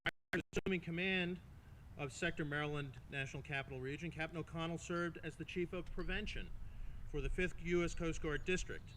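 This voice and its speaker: A man with a Long Island accent hosts a formal ceremony, reading out an introduction. His voice is bright and forceful, a bit higher than average, over faint ambient noise. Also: a little fast, rough recording. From Smithtown, Long Island, New York. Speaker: master of ceremonies. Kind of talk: formal introduction, partly read, with asides.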